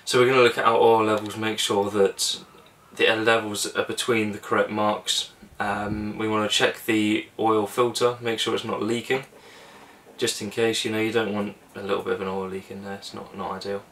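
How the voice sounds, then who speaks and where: Young man, low and even delivery, relaxed practical how-to vlogger, slightly off-mic with faint hiss. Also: normal speed, recorded from a distance. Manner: relaxed, practical, talks through steps with fillers. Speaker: sailing vlogger. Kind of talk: casual how-to explanation. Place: small boat cabin and engine bay, indoors.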